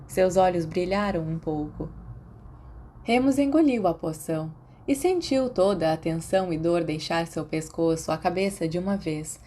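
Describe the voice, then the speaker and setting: A woman's voice: on the low side, expressive, moderate pace, mellow. A woman with a Brazilian accent reading scripted fiction as an audiobook narrator. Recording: faint background noise.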